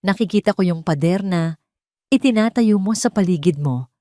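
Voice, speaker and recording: A woman with a Filipino accent voicing a heartbroken, gentle young man who confides his past in an audio romance story. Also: fairly low pitch, lively intonation, normal speed, dry recording, studio quality, close to an everyday voice. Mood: accepting, tender. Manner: confessional, code-switching into English.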